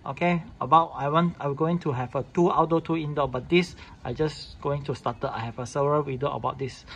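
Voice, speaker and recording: Man, slightly high voice, informal setup walkthrough, clipped repetitive phrasing, faint background noise. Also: steady tone, a little fast, fairly bright tone. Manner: repetitive clipped phrasing in non-standard English. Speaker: tutorial host showing a device setup. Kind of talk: informal unscripted step-by-step product demonstration. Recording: clearly noisy room recording.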